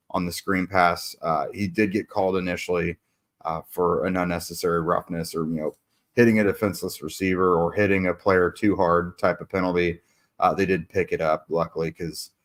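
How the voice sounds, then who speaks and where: A man hosting a sports podcast, recapping a game in a casual way with lots of fillers and restarts while losing his voice. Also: deep voice. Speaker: podcast host.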